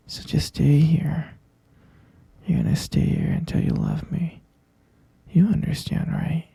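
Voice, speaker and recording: A man playing an obsessive, possessive, lovesick boyfriend in a whispered ASMR roleplay, with a breathy, gravelly voice and slow, coaxing delivery. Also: on the high side, warm tone, no echo, heavily acted. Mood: possessive, adoring. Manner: slow, coaxing, repeats himself with pet names.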